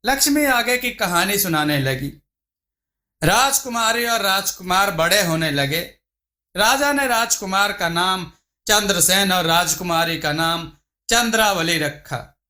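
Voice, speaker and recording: A man's voice: high voice, expressive, a little slow, bright, forceful tone. A man reading a scripted folk tale as a storyteller. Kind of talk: scripted narration of a Hindi moral folk tale.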